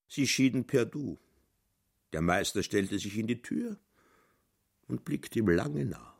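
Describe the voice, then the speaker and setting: Slow, low-pitched storyteller. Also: male voice, lively intonation, creaky, slightly rough, heavily acted. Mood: measured. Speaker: narrator. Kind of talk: literary radio play narration.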